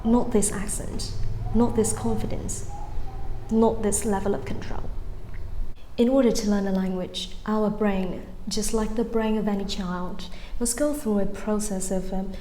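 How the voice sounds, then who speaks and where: A woman's voice: medium pitch, steady tone, unhurried, warm tone, a little hiss or hum. A young Vietnamese woman in her twenties, speaking English with a light Vietnamese-tinged accent with British touches, giving a chatty, rambling language lesson full of ums and restarts. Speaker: English-learning YouTuber. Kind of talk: casual vlog-style lesson. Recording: echoey room with faint ambience.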